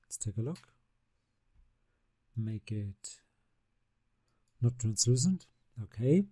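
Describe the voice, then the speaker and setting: A man, narrating a screen-recorded software tutorial step by step, calmly describing each click. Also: mid-range voice, no room sound, studio quality. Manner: unhurried, step-by-step narration of mouse actions. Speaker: CAD software instructor.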